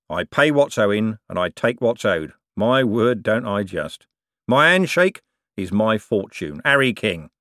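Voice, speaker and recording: A man voicing a gruff, rich businessman, who talks slowly and boastfully. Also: fairly low pitch, a bit bright, no room sound, studio quality. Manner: boastful, blunt, slow and emphatic. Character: gruff rich working-class male businessman.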